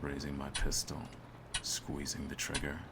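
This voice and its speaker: A cold, smug hitman, acted by a man for an audio roleplay. His voice is extremely deep with a gravelly creak, and he phrases things slowly and theatrically, full of menace. Clear noise runs behind the recording. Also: completely flat delivery, exaggerated voice. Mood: cold, composed menace. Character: cold, smug male hitman holding his target at gunpoint. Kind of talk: scripted ASMR audio roleplay.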